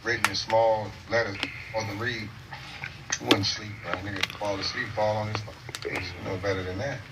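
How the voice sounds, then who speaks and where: A man's voice: on the low side, level tone, normal speed, heavy background noise. A man giving casual, slangy commentary, hyping the audience with call-outs. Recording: distant voice over a loud crowd.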